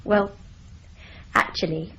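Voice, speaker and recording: A woman's voice: slow pace, lo-fi sound, lightly acted. An actress playing a candid, slightly sheepish young woman in a radio drama.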